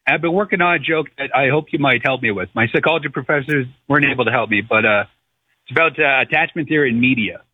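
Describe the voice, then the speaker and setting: A man in his thirties calling in to a radio show over the phone, chatting in a casual, rambling, halting and jokey way. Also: mid-range voice, slightly rough. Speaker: caller, comedian. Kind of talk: casual chat. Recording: tinny, narrow phone line on radio.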